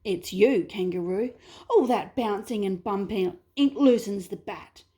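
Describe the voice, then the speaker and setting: A woman's voice: medium pitch, measured pace, dark tone, close to an everyday voice. A woman voicing a tall, proud female emu who is offended. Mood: indignant. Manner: haughty.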